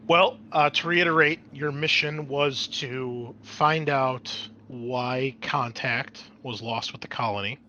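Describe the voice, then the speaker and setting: Bright-voiced man running a tabletop game. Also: mid-range voice, slow, hardly any pauses, lo-fi sound, a little hiss or hum. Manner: explanatory, conversational. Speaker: game master. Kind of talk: narrating a tabletop roleplaying game.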